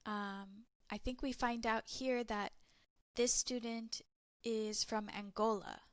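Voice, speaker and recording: A woman teacher talks her students through a lesson at a slow, careful pace. Her voice sits a little high. The recording is close and dry, with no room sound. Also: steady tone, no echo. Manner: slow, clear teacher talk explaining step by step. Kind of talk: recorded class lesson for English learners.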